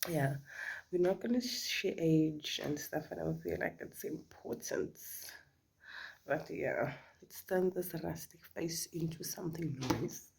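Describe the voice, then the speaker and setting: A woman's voice: sits low, expressive, takes it slowly, creaky, darkish tone, a little hiss or hum. A middle-aged South African woman, Afrikaans her first language, chatting casually as she does her makeup for a vlog, often dropping into a whisper. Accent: South African English. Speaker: beauty vlogger. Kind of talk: casual makeup chit-chat.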